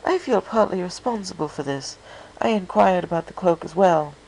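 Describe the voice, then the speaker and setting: Male narrator as kindly, dramatic society-lady cartoon mare, genteel, lifted high above his own voice. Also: moderate pace, creaky, background noise. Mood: insistent. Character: refined, concerned, kindly young lady cartoon pony.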